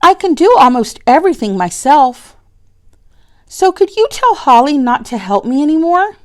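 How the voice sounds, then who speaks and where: A woman's voice: high voice, very animated, normal speed, a little mellow, voice pushed up. A woman voicing an independent, frank young schoolgirl in a storybook, speaking earnestly and insistently.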